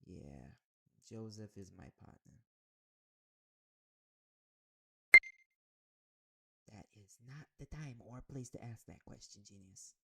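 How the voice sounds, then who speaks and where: A man's voice: medium pitch, creaky. A man playing a sarcastic, irritable private eye with magic in an audio roleplay, terse and exasperated. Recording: very noisy audio with clicking.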